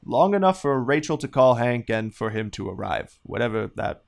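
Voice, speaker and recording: A man playing a self-aware, deadpan narrator of a comedic detective audio drama. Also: mid-range voice, normal speed, gravelly. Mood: wry.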